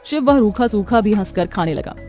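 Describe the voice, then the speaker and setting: Casting: female storyteller for scripted moral tales, medium pitch, faint music underneath. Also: expressive, moderate pace, a little mellow.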